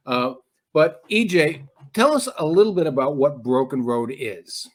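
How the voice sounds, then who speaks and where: A man's voice: a little high, expressive, unhurried. A man hosting a podcast, introducing and interviewing in a conversational style. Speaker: podcast host.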